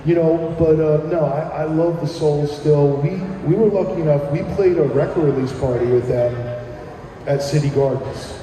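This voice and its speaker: A man's voice: on the high side, fairly even intonation, normal speed, noisy background. A man guesting on a radio show, chatting casually and rambling with many ums and restarts. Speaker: musician guest. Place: live broadcast at a venue. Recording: roomy live venue audio from far off.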